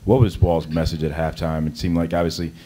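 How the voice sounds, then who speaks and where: A male reporter with a low, mellow voice asks players questions briskly, rephrasing as he goes. Faint noise sits behind him. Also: flat delivery. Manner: brisk, restarts mid-question. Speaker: sports reporter. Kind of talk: press conference questions.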